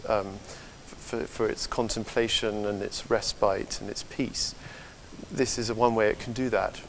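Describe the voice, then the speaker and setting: A man in his thirties giving a thoughtful, halting interview answer on a lapel mic in a large church. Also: lowish voice, fairly even intonation, rough recording. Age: 30s. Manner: halting, thoughtful phrasing with repeated words. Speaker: interviewee, website director. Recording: faint background hiss.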